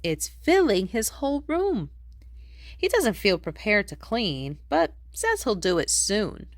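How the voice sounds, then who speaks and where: Slow, animated woman reading a storybook. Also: medium pitch, no room sound, studio quality. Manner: expressive, deliberate storytelling for young children. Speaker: children's book reader. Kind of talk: read-aloud storybook narration in rhyme.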